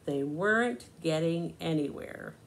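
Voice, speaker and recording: A woman in her sixties reading a picture book aloud to young children, deliberate and expressive, pausing to explain. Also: low-pitched. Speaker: children's storybook reader. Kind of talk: read-aloud storytelling to young children with asides. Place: small living room, indoors. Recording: noisy amateur home recording.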